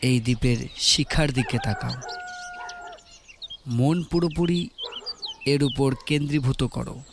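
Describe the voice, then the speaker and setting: A man voicing a calm, wise ascetic monk who gives gentle, fatherly counsel in a slow, measured way. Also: mid-range voice, background noise. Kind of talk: audio story dialogue.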